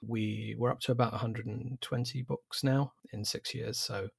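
English guy in his 40s, low gravelly voice, kinda husky, talks carefully w/ ums. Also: fairly even intonation, dry recording, clean studio sound. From England. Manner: measured, thoughtful, with fillers and restarts. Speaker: publisher, guest. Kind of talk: interview answers.

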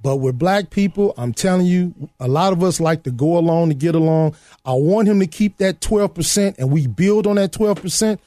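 A man with a fairly high voice talks emphatically on a political panel. He repeats himself and breaks in on others. The recording is dry and close. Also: normal speed, mellow, no room sound. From Illinois. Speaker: political talk show panelist. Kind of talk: casual political discussion.

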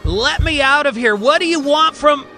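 Man acting as agitated male patient claiming presidency, earnest, desperate, bright forceful voice, podcast drama. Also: extremely high pitch, expressive, moderate pace, faint music behind, pitched above the performer's own voice, exaggerated voice. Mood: angry, desperate. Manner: earnest, increasingly desperate and angry. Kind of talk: dramatized storytelling in a fiction podcast.

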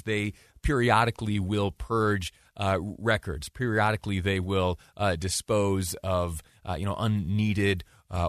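A man hosting a talk show, giving conversational news commentary in a deliberate way with rhetorical questions and emphatic repetition. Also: fairly low pitch, a bit bright, dry recording, studio quality.

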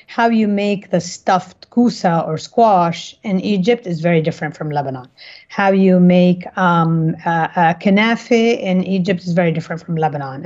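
A woman from Kuwait answering interview questions reflectively, often halting and correcting herself, on slightly dull audio. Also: lowish voice, mellow. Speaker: interview guest, cookbook author.

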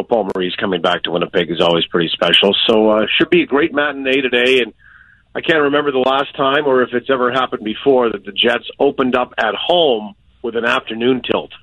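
Male sports broadcaster as interview guest: lowish voice, dry sound, measured conversational analysis. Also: a bit bright, dry recording, poor audio. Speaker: guest radio play-by-play broadcaster.

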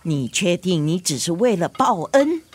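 A woman's voice: fairly low pitch, sing-song, normal speed, darkish tone, husky, no room sound. An actress playing a meddling, matchmaking older mother in a radio drama, fussy, insistent and prying, with a Singaporean accent.

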